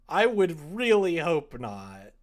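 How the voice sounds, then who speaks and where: Animated young American man chatting on stream. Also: fairly high pitch. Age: young adult. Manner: rambling, expressive, reacting to chat comments. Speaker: live streamer. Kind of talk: casual livestream chat. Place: indoor room at a desk.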